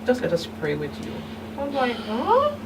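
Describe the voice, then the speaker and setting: A middle-aged woman giving candid personal testimony as an interview guest, speaking haltingly with emphatic turns. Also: a little high, wildly swooping pitch. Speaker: guest, survivor and advocate. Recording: distant mic, noisy room hum.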